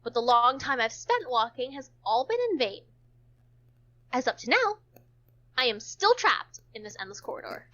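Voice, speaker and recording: A woman's voice: sits high, animated, moderate pace, fairly bright tone, dull audio. A woman reading visual novel text aloud for a stream, stumbling now and then and dropping in casual joking asides.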